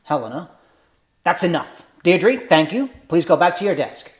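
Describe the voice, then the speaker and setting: A man's voice: on the high side, very animated, normal speed. A man playing a stern, formal bulldog of a company chairman in a boardroom drama read-through. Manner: formal, authoritative. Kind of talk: scripted drama table read.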